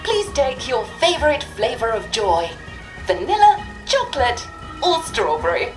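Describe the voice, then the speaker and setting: An actress playing a sugary, officious institutional announcer who gives formal, polite instructions. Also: female voice, high-pitched, big pitch swings, unhurried. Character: saccharine, officious female announcer at rehabilitation centre. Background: music.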